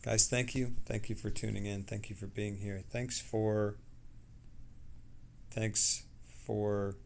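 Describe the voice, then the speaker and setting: A middle-aged man who sounds tired, chatting casually on a livestream in a rambling, halting way and trailing off mid-sentence. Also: lowish voice, little pitch movement, gravelly, a little mellow, slight background noise.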